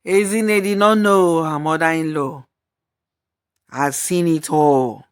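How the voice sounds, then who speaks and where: A woman's voice: low voice, steady tone, slow pace, clean tone. A woman storyteller giving a scripted narration of a folktale.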